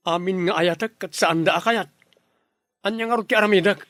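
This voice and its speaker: Young filipino son in radio drama, pretty high voice for a man, earnest, frustrated, dry recording. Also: a little fast, a bit bright, no room sound. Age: young adult. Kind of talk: Ilocano radio drama acting.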